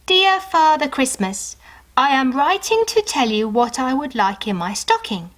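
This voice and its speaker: A woman reader puts on a sweet, earnest little girl from a children's storybook. She speaks carefully and deliberately, in a lightly lifted voice, as if reading her own letter aloud. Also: high voice, sing-song, a bit bright, pitched above the performer's own voice, exaggerated voice. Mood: earnest, hopeful.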